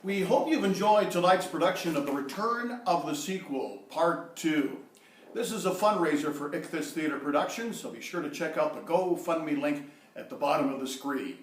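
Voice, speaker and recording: Echoey, fairly high-voiced man announcing. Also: a little hiss or hum. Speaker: announcer for a theatre company. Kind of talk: closing announcement and thanks. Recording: echoey event hall.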